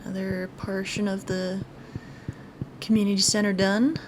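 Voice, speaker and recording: A woman's voice: medium pitch, flat delivery, normal speed, noisy background. A woman giving casual let's play commentary as the host of a gaming video. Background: music.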